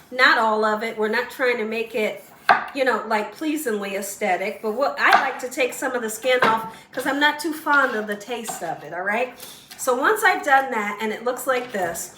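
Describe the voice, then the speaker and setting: Bright-voiced, slightly hoarse woman cooking host. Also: high voice, reverberant, recorded from a distance, a little hiss or hum. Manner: chatty, encouraging, talks straight to viewers. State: slightly hoarse, losing her voice. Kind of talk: casual home cooking tutorial. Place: home kitchen.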